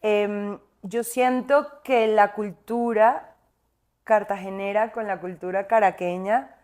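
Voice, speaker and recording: A woman's voice: medium pitch, fairly even intonation, takes it slowly, darkish tone. A young woman from Caracas with a Venezuelan accent, a singer talking reflectively as an interview guest, with fillers and restarts. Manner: thoughtful, winding, many fillers and restarts.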